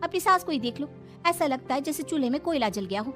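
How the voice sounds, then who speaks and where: Woman acting a high-pitched, chatty young neighbour. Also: lively intonation, quick pace, a bit bright, faint music behind. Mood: encouraging. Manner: chatty, frank, encouraging. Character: kind, outspoken young neighbour woman, supportive.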